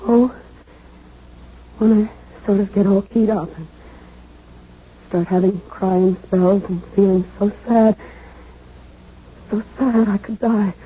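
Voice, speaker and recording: An actress playing a distraught, high-strung young woman in a 1970s radio drama, pleading, insistent and tearful, with halting repetitions. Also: fairly even intonation, mellow, husky. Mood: subdued, sad. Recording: muffled vintage radio broadcast.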